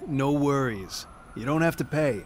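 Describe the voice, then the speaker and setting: An actor playing a kindly, assured street evangelist, reassuring and earnest, in an audio drama. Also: male voice, medium pitch, lively intonation, measured pace, slight background noise, natural voice.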